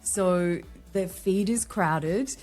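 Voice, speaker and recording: Australian woman, podcast guest, lowish voice, candid, chatty. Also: very slow, a little mellow, faint music behind. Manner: chatty and candid, with wry asides. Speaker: guest, LinkedIn strategist. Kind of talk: conversational podcast interview.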